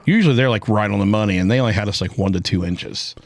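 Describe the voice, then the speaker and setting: A man hosting a casual podcast, trading quick jokes with his co-host. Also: fairly low pitch, creaky. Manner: quick joking banter. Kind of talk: casual chat.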